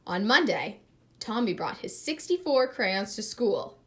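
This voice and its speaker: A woman reading a picture book aloud in an expressive, teacherly way, pausing to address young listeners with questions. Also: medium pitch. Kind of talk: expressive children's read-aloud with asides and questions.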